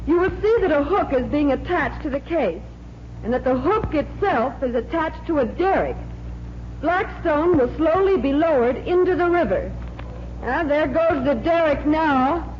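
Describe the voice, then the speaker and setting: Plucky magician's assistant, high voice, old radio. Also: female voice, expressive, unhurried. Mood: announcing. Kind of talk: 1940s radio acting. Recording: muffled, noisy 1940s radio recording.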